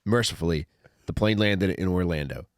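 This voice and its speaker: A man's voice: sits low, moderate pace, no echo, studio quality. A middle-aged man reading a memoir aloud with blunt, profane, conversational delivery. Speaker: author reading own memoir and podcast host. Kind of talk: audiobook reading with casual comic asides.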